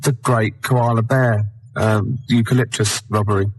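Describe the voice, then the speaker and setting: A British man hosting a radio comedy show, rambling through improvised stories with deadpan repetition. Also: on the low side, unhurried, rough recording. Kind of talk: rambling comic chat and improvised storytelling.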